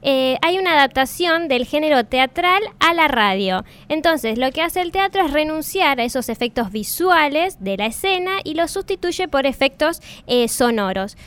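A woman's voice: sits high, expressive, normal speed, few pauses, a bit bright. A woman with an Argentine accent explaining things as a podcast co-host. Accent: Argentine Spanish.

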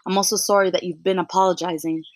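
A young woman hosting a podcast, softly introducing and then reciting her own spoken word poem in a measured way. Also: fairly low pitch, flat delivery. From United States. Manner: soft-spoken, frequent ums, measured poetic delivery. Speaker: podcast host.

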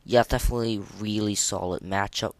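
A man hosting a casual solo gaming podcast, speaking in a halting way and often stumbling and repeating words. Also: fairly low pitch, steady tone, dry recording. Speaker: podcast host.

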